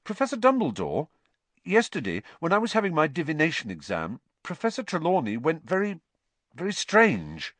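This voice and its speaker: A man voicing an earnest, high-pitched troubled schoolboy. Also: huge pitch swings, moderate pace, fairly bright tone, no room sound, full character voice. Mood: anxious, urgent. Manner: agitated, insistent, hesitant in places. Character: earnest, troubled teenage schoolboy wizard, male. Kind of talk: audiobook character voice.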